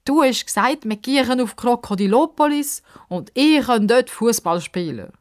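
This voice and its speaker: A woman's voice: on the high side, lively intonation, normal speed, voice pushed up, lightly acted. A woman voicing a stubborn, spirited young girl in a children's story, defiant and impulsive.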